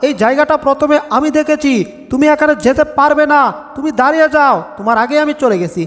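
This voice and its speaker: A man's voice: extremely high pitch, fast talker, a bit bright. A man voicing a whiny, bossy comic cartoon cat in a Bengali dub, grumbling and narrating his own doings with exaggeration. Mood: bossy, annoyed. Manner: exaggerated complaining, self-narrating. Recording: long reverb over background music.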